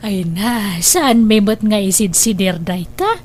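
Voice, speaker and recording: A woman's voice: medium pitch, lively intonation, unhurried, a little mellow. A woman playing a tender, emotional elderly grandmother in a radio drama, with a Filipino accent. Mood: proud, fond. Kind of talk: Ilocano radio drama acting.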